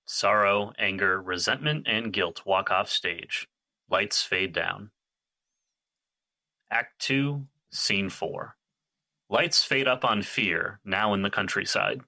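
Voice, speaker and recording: A man's voice: lowish voice, slow, pushed and bright, no room sound, poor audio. A man reading stage directions as scripted narration for an audio drama.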